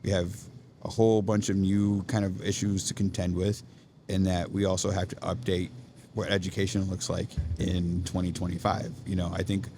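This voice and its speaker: A man's voice: deep voice, little pitch movement, normal speed, warm tone, slight background noise. A man being interviewed about education on a podcast, talking earnestly and checking in with "right?" as he thinks aloud. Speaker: podcast guest, education nonprofit leader. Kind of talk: casual interview chat. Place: coffee shop.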